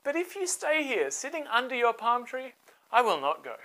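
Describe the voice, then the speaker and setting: Casting: woman storyteller as hesitant, stubborn ancient warrior man, emphatic and animated, children's storybook style. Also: fairly high pitch, normal speed, voice pushed up, rough recording. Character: hesitant but stubborn male Israelite army leader.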